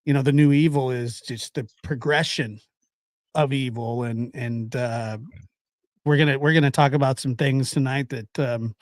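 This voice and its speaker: A man around forty hosting a casual live-stream chat, halting and circling back over his phrases. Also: medium pitch, steady tone, no echo. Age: late 30s to early 40s.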